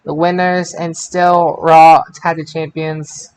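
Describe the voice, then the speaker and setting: Male podcast host, slightly high voice, informal and halting sports recap delivery. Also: little pitch movement, darkish tone, clear, resonant tone, low-quality recording. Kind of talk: casual wrestling results chat.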